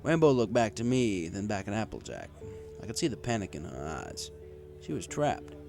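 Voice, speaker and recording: A man's voice: lowish voice, sing-song, normal speed, vocal fry, darkish tone. A man reading a first-person story in a wry, conversational way. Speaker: story reader. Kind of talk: first-person story narration with acted dialogue.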